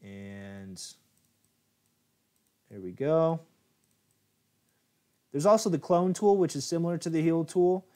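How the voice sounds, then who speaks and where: A man's voice: on the high side, expressive, slow, long pauses, dark tone. Casual American man hosting a livestream. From United States. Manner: casual, chatty, many fillers. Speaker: software tutorial host. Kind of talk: live-streamed photo editing tutorial.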